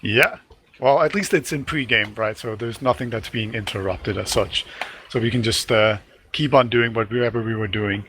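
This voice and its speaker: A man's voice: lowish voice, fairly bright tone, slight background noise. A man casting a live online game match, talking conversationally, laughing easily and tossing questions to his partner. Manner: conversational, quick to laugh, asks co-caster questions. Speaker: esports caster. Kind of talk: casual live game commentary.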